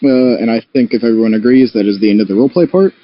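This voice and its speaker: A man's voice: medium pitch, racing, no room sound. A man playing a tabletop game, moving between casual chat and talking in character. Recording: dry, dull lo-fi audio.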